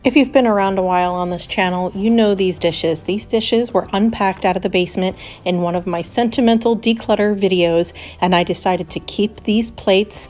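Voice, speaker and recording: A woman in her thirties chatting through a voice-over for her home cleaning vlog, relaxed and friendly, with the odd small laugh. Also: mid-range voice, moderate pace, hardly any pauses, faint music behind. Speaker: homemaking vlogger.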